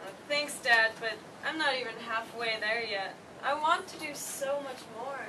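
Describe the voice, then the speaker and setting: A woman playing an energetic, caring daughter in her thirties in a staged play reading. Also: fairly high pitch, moderate pace, natural voice. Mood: wistful. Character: energetic, ambitious thirty-four-year-old daughter, caring and busy. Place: theater stage, recorded from the audience. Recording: distant, noisy live theater recording.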